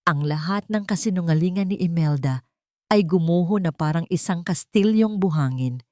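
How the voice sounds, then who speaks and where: A woman's voice: on the low side, a little mellow, no echo, clean studio sound. A woman reading a dramatic first-person story as a scripted audiobook narration.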